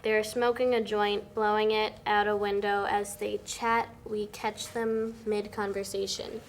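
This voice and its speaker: A woman's voice: medium pitch, little pitch movement, a little slow. A young woman reading screenplay directions aloud as the narrator of a table read. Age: young adult. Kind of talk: scripted narration. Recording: noisy room recording.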